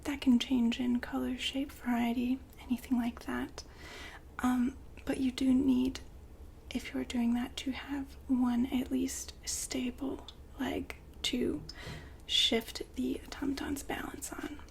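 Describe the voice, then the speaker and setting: A woman speaks in a breathy, unvoiced whisper with a flat, even delivery. She takes her time, as a polite consultant attending to a customer in an ASMR roleplay. There is faint noise behind her. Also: high-pitched. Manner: soft-spoken, polite, unhurried, gently hesitant.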